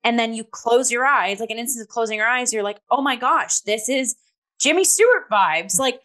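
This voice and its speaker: A woman's voice: a little high, animated, moderate pace, a bit bright. A young woman podcast host giving a casual theatre review in an effusive, emphatic, conversational way, with "like" sprinkled through her sentences.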